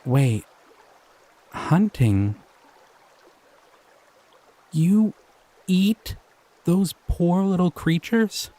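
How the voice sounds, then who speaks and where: A man voicing a gentle, curious male slime creature in an audio roleplay; he is soft-spoken, easily delighted and chuckles often. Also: sits high, very animated, drawn-out words, long pauses, dry recording, heavily acted.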